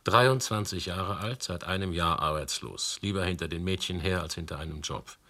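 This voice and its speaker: A middle-aged police commissioner in a radio drama. His voice is low and bright with a forceful edge. He is commanding and sardonic, measuring out his words like someone used to being obeyed. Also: normal speed, full character voice. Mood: dry, weary. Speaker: police commissioner questioning witnesses. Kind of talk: German radio play acting.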